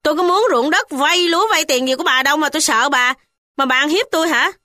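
A woman's voice: medium pitch, lively intonation, unhurried, darkish tone, dry recording, studio quality, lightly acted. Give me a blunt, defiant village man, read by a woman, speaking plainly and firmly.

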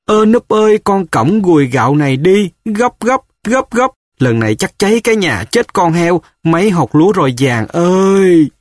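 A man's voice: high voice, expressive, normal speed, few pauses, dry recording, clean studio sound, voice pushed up. A man voicing an old village woman, a worried, tearful mother who pleads and calls out in a raised voice. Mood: urgent.

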